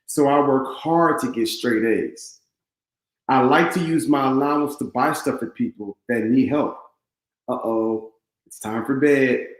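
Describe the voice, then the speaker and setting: A man's voice: medium pitch, a little slow, close to an everyday voice. Man reading as eager young boy, echoey room. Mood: excited. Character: excitable eleven-year-old boy, affectionate mama's boy narrating. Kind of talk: read-aloud children's storybook. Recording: roomy echo.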